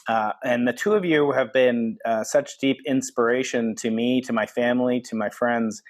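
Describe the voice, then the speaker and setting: A man's voice: medium pitch, fairly even intonation, normal speed. A man hosting a podcast interview, speaking earnestly with many fillers and restarts. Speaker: podcast host.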